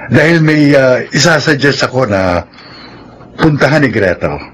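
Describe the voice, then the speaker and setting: Psychiatrist, slow and measured, reassuring, radio drama with faint noise behind. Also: male voice, mid-range voice, expressive, a bit bright. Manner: deliberate, reassuring. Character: reassuring, measured male psychiatrist advising clients. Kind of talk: Filipino radio drama acting.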